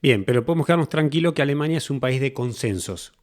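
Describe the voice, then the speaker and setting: A male podcast host with a Rioplatense Spanish accent. He talks quickly at a medium pitch. His interviewing style is informal and conversational. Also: expressive.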